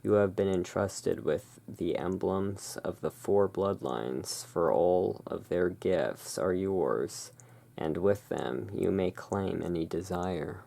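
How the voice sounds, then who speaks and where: A soft-spoken ASMR performer playing the relaxed owner of a gothic curiosity shop in a soothing, unhurried manner. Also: deep voice, creaky.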